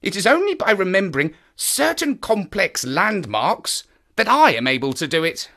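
An enthusiastic male naturalist guides a visitor across the moor, as a man acts him out in an audio drama. His voice leaps about wildly in pitch and carries a bright, forward edge. He explains with keen, knowing animation. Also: big pitch swings, normal speed, heavily acted. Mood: alarmed. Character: eager male naturalist of the moors, lively and knowing.

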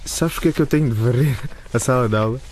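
Radio drama sweetheart: male actor as insistent, smooth-talking schoolboy, mid-pitched, relaxed timbre, faint outdoor ambience. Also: fast talker, slight background noise, lightly acted. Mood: coaxing. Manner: coaxing, insistent.

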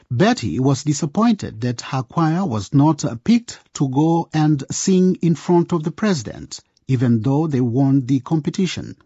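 A man's voice: mid-range voice, mellow, husky, dry recording, lo-fi sound. A man narrating a scripted radio drama, addressing listeners like a storyteller. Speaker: narrator, presenter.

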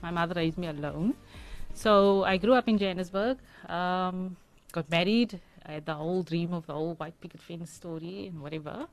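Nervous, halting South African woman. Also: on the low side, faint music behind. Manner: nervous, halting, many fillers and restarts. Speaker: radio guest. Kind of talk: personal interview talk. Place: radio studio. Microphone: studio microphone.

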